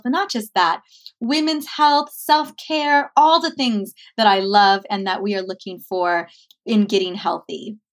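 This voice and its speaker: Gushing, enthusiastic female podcast host. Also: fairly high pitch. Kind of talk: interview, casual chat.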